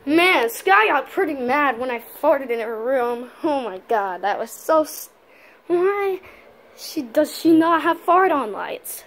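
A goofy young boy puppy, high and bouncy, who stammers and rambles in nervous bursts, as a woman puts him on. His voice leaps all over the place in a homemade cartoon play. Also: expressive, slow, full character voice. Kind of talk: fan-made cartoon voice play.

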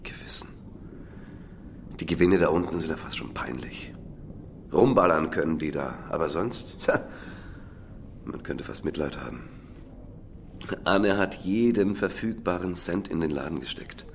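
A man's voice: rumbling low, animated, unhurried, pauses often, a little hiss or hum, full character voice. An actor playing a cynical, defensive middle-aged businessman under interrogation in a radio crime drama, self-justifying and swinging between muttering and heated outbursts. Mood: subdued, dismissive. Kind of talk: naturalistic German radio drama acting.

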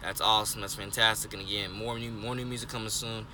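A young man of twenty talks into a phone held close in his car, earnest and repetitive, circling back on himself with fillers. His voice sits a little low but sounds bright and forceful. A faint hum of the street sits behind him. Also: steady tone, fast talker. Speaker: vlogger. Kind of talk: rambling casual monologue. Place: parked car, indoors of vehicle. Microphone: phone held close, selfie framing.

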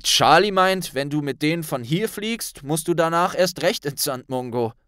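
A man's voice: on the high side, animated, normal speed, a bit bright, clean tone, no echo, studio quality, voice pushed up. A man playing a blunt, loyal young male wizard friend with a colloquial way of talking in an audiobook. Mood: casual. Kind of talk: audiobook character voice.

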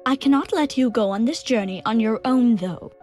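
A woman playing a gentle, worried motherly monster who speaks formally and carefully in an audio drama. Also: a little high, moderate pace, soft background music, close to an everyday voice. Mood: concerned, firm. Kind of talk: fan audio drama acting.

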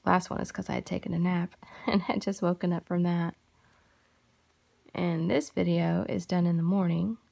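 A woman hosting a crochet tutorial, talking softly and unhurriedly in a gravelly voice, having just woken up. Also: fairly low pitch, steady tone. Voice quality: gravelly morning voice. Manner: soft-spoken, unhurried with fillers. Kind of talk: casual tutorial chat while crocheting.

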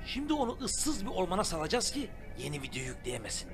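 Blustering middle-aged schemer, wildly swinging pitch. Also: male voice, sing-song, quick pace, music in the background. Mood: scheming. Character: scheming, defensive middle-aged male would-be YouTuber. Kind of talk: animated comedy voice acting.

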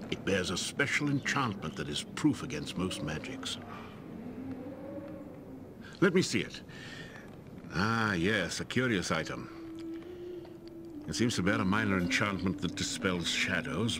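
A low-voiced, scholarly secret agent, formal and grave yet very expressive in pitch. He speaks as in a fantasy video game, with clear noise behind him. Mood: curious, pondering.

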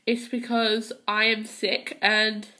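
A woman's voice: fairly high pitch, little pitch movement, slow, background noise. Tired girl with a stuffy head cold. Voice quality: hesitant, many ums and restarts. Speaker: gaming channel host. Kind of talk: casual gameplay commentary. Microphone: phone filming a handheld console screen.

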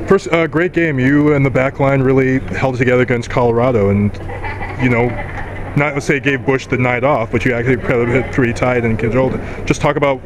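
A man's voice: medium pitch, fairly even intonation, brisk. A man interviewing a player after a game, asking long, rambling, casual questions full of fillers. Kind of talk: post-game sports interview. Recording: crowded mixed zone chatter.

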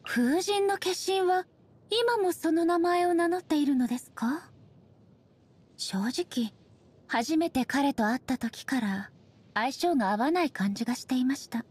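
A woman voicing a dignified, ageless shogun goddess in a game, speaking softly in formal, measured, polite phrases. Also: high-pitched, lively intonation. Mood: prim, firm. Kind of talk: scripted game character voice lines.

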